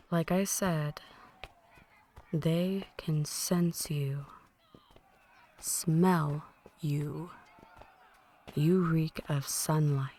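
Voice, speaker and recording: A woman playing a possessive, menacing vampire mistress in an intimate roleplay, teasing and manipulative, often slipping into breathy whispers. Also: deep voice, very slow, pauses often, a little mellow, a little hiss or hum. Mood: taunting, menacing. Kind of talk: intimate ASMR roleplay monologue.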